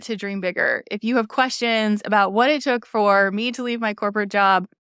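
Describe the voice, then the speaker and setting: A woman's voice: mid-range voice, steady tone, normal speed, fairly bright tone, clear, resonant tone, no echo, studio quality. A woman in her thirties hosting a solo podcast, talking casually and encouragingly, often saying "like" and "right?" and asking her listeners questions. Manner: conversational, frequent "like" and "right?". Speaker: podcast host. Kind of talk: reflective solo podcast monologue.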